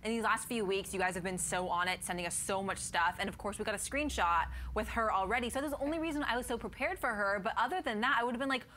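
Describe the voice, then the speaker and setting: A woman's voice: medium pitch, quick pace, hardly any pauses, pushed and bright, slight background noise. A young woman hosting a casual fan recap show, chatty and upbeat with plenty of fillers. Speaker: host.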